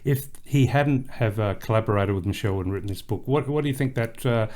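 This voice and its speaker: A man's voice: fairly low pitch, brisk, a little mellow. A man hosting a podcast, asking long, rambling questions in a casual, friendly way. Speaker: podcast host.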